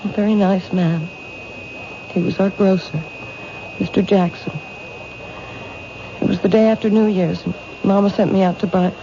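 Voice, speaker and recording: An actress playing a frightened, doubting young woman in danger, speaking anxiously and haltingly in a 1970s radio drama. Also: medium pitch, lightly acted. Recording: dull, noisy 1970s radio recording.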